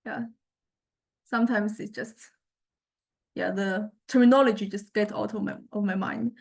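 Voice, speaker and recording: A woman giving an informal software demonstration lecture, thinking aloud and often searching for words as she explains. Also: medium pitch, fairly even intonation, moderate pace, creaky, husky.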